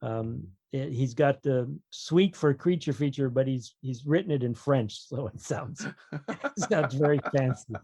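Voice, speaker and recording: A man's voice: mid-range voice, measured pace, darkish tone, husky, dry recording. A man talking casually as an author guest on a podcast, explaining his opinions with wry humour.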